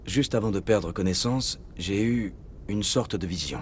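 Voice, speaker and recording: An actor playing a steady, determined military commander in a video game, speaking in a serious, restrained way. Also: male voice, on the low side, a little slow, a little hiss or hum, close to an everyday voice. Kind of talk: dramatic video game dialogue.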